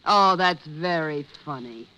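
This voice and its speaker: An actress playing an indignant, sharp-tongued socialite wife in a 1940s radio crime drama. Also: female voice, deep voice, animated, slow pace, full character voice, low-quality recording. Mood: nagging. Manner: indignant, combative. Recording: old radio recording, faint noise.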